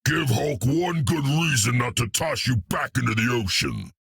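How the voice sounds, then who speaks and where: A man voicing a hulking, cocky green brute in a video game, gruff and blunt, talking about himself in the third person with a gravelly, husky voice. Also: mid-range voice, lively intonation, moderate pace, a bit bright, no room sound, studio quality, full character voice. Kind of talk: video game character dialogue.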